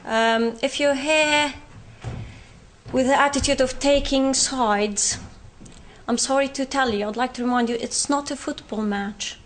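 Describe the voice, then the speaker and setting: A young woman with a Palestinian British accent, giving an earnest talk on her own experience, often pausing with fillers. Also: high voice, steady tone, a little hiss or hum. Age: young adult. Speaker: guest speaker. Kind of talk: university talk from personal experience.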